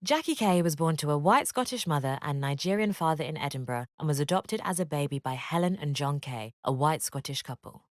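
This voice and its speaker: A woman giving scripted educational narration in a measured, expressive read-aloud manner. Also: deep voice, no room sound, clean studio sound.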